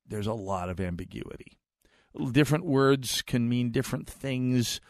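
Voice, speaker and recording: American talk radio host, dry studio sound. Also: male voice, mid-range voice, animated, measured pace, dry recording. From United States. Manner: chatty, digressive, repeats words when restarting.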